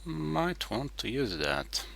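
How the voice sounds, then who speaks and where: A man playing a strategy game and thinking aloud in terse, halting remarks, muttering to himself as he weighs his moves. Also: mid-range voice, very animated, creaky, a bit bright. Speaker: board game player.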